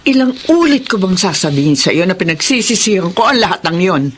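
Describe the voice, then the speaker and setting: A woman's voice: lowish voice, lively intonation, fast, a little hiss or hum, close to an everyday voice. A woman with a Filipino accent playing a middle-aged, well-off, remorseful mother who pleads earnestly in a radio drama. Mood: defensive, remorseful. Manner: earnest, pleading, swooping emphasis.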